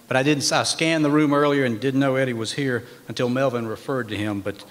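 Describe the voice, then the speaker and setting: A man emceeing a formal hall of fame ceremony. He reads an honoree's record in measured, emphatic phrases from a podium in a banquet hall. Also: medium pitch, fairly even intonation. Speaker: master of ceremonies. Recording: long reverb of a large hall.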